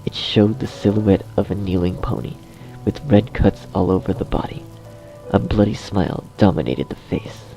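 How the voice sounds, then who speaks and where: A man's voice: on the low side, normal speed, creaky, mellow, rough recording. A man reading scripted horror story narration as the narrator. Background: music.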